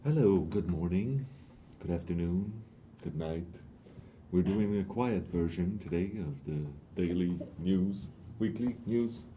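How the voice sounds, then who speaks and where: Man, stream host, low voice, mellow tone, hesitant fillers. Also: measured pace, slight background noise. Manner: hesitant, lots of uhs and restarts. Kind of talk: casual livestream news chat.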